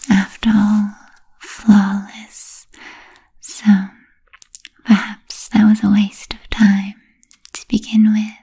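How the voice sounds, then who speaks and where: A vain, seductive vampire played by a woman whispers in an intimate ASMR roleplay. The voice is extremely breathy and mellow, with very slow, coaxing phrases and lingering pauses. The delivery stays gentle and politely teasing throughout. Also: medium pitch, exaggerated voice. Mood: teasing, self-satisfied.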